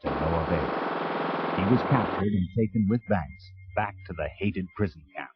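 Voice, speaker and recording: A man's voice: low-pitched, expressive, moderate pace. A man reading scripted narration for a children's read-along adventure story. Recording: dull 1980s cassette tape.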